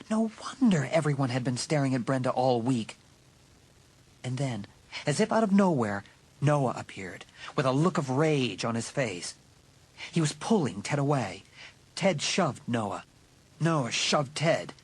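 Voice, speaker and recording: A man narrates a thriller audiobook in a medium-pitched, slightly rough voice. His delivery is dramatic and suspenseful. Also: low-quality recording. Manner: suspenseful storytelling.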